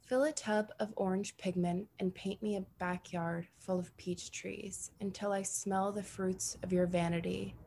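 Woman poet, mellow slow soft reading, faint noise behind. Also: mid-range voice, flat delivery. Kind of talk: poetry reading.

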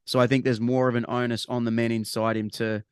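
A man's voice: mid-range voice, level tone, a little fast, clear, resonant tone, no room sound, studio quality. A man with an Australian accent chatting casually as a podcast co-host, rambling and restarting his phrases, with bursts of emphasis. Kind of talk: casual sports chat.

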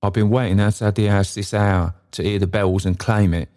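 A man's voice: lowish voice, flat delivery, moderate pace, a little mellow, close to an everyday voice. A man voicing a jolly young bridegroom. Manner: hearty, boisterous.

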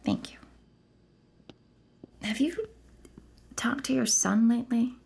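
A woman playing an anxious, weary suburban mother in a naturalistic audio drama, talking in a conversational, often hushed way with an exasperated edge. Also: fairly high pitch, slow pace, vocal fry, background noise. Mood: uneasy, exasperated.

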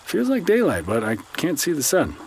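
An actor playing a skeptical, sarcastic therapist and bitter grown son in a science fiction audio drama, with dry, reluctant delivery. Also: male voice, a little high, wildly swooping pitch, normal speed, vocal fry, a little hiss or hum, exaggerated voice, low-quality recording. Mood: grudging.

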